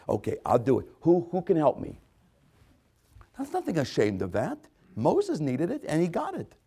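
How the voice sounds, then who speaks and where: A man preaching a sermon, reading scripture aloud and expounding on it. He repeats key phrases for emphasis and swings between loud exclamations and hushed asides. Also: high voice.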